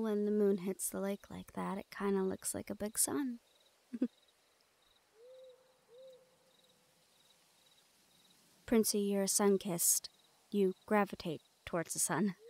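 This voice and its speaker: A woman playing a teasing, protective shadow-folk thief in an intimate fantasy audio roleplay, speaking softly and often dropping toward a murmur. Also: medium pitch, close to an everyday voice. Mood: fond, teasing.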